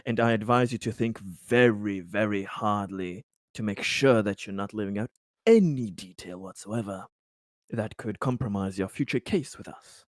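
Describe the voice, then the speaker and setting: A man playing a stern colony leader in a tabletop game, questioning a prisoner in a formal, halting, threatening manner. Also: fairly low pitch, dry recording, studio quality, natural voice. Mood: warning.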